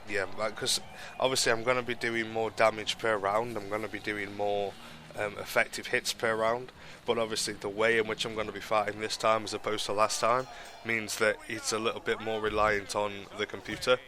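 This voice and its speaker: A young man talks over his boxing game in a low, nasal voice, his nose blocked by a cold. His delivery stays flat and even, wandering through ums and restarted sentences as he explains his tactics. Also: fairly quick, hardly any pauses, a little hiss or hum. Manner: rambling, many ums and restarts. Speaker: gaming commentator. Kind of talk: casual gameplay commentary.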